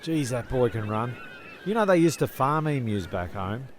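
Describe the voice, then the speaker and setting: A man's voice: mid-range voice, sing-song, moderate pace, slight background noise. A man playing a laid-back, literal-minded mate in an audio comedy, talking in a blokey, matter-of-fact way. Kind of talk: comedic audio drama dialogue.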